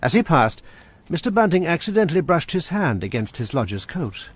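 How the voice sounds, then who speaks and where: A man narrating a radio drama in a measured storytelling manner, husky-voiced. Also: a little high, big pitch swings.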